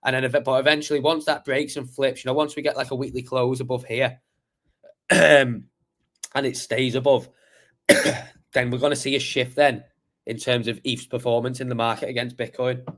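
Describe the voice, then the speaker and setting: A man hosting a crypto livestream with a slightly croaky voice, talking through charts in a chatty, rambling way with many fillers and restarts. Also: mid-range voice, little pitch movement, moderate pace, a bit bright. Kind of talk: casual live chart analysis.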